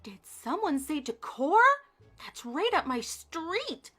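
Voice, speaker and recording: A woman's voice: high voice, big pitch swings, slow, soft background music, pitched above the performer's own voice, exaggerated voice. A woman voicing a cheerful, friendly young male pumpkin in a storybook read-aloud, bubbly and upbeat. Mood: cheery.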